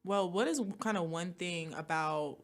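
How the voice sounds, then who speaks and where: A young woman hosting a college radio interview, chatty and expressive, using casual slang. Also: on the low side, unhurried. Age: young adult.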